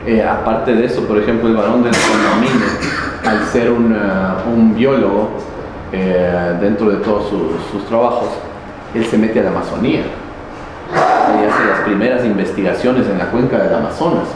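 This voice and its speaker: A man explaining local history in an interview, pausing often with fillers and restarts. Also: lowish voice. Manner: halting, many fillers and restarts. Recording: very echoey, noisy, reverberant space.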